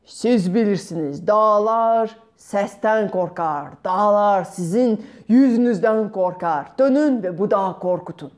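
A wise old man of a folk tale, voiced by a woman gives advice slowly and solemnly. The tone is mellow and grave, each phrase set down deliberately. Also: medium pitch, lightly acted. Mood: grave, urging.

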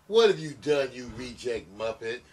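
A man's voice: mid-range voice, lively intonation, normal speed, a little mellow, lightly acted. A man playing an exasperated television station boss in comic skit dialogue.

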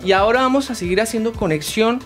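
A young man hosting an interview in a living room, asking slow questions full of restarts. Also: high-pitched, a bit bright, soft background music. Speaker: host.

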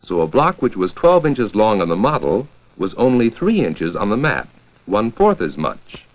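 An American man narrating a 1940s educational film from a script, with a clear, measured storytelling delivery. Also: fairly low pitch, wildly swooping pitch. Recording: dull, lo-fi 1940s 16mm film soundtrack.

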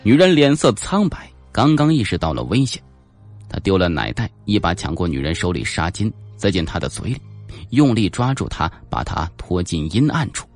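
A man's voice: low-pitched, sing-song, moderate pace, a little mellow, faint music behind. A man narrating a suspense audiobook in a dramatic, scripted storytelling style.

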